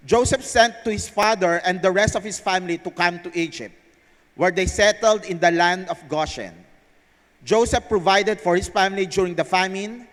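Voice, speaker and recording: A man's voice: sits high, fairly bright tone. A man with a Filipino accent speaking as the presenter of a live stage event. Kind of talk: scripted narration read aloud to a live audience. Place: large indoor theater hall. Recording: echoey hall over PA sound system.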